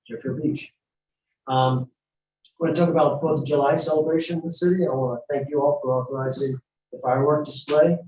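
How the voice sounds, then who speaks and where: A man's voice: fairly high pitch, a little mellow. A man speaks casually at the podium, appreciative and a bit loose, with fillers. He is heard from a distance in an echoey chamber with faint noise. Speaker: former commissioner commenting. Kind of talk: public comment at city meeting. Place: city commission chamber. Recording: distant echoey hall mic.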